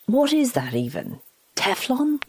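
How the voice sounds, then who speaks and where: A woman's voice: fairly high pitch, measured pace, slightly rough, no echo, full character voice. A woman playing a restless, wry partner bantering at home in a naturalistic audio drama. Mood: wry, playful. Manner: wry, animated, complaining.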